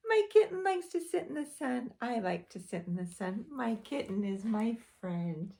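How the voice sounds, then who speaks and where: A young woman teaching preschool, reading a picture book aloud to children in a lively storytime voice. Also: normal speed, vocal fry. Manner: animated storytime voice with big pitch swings.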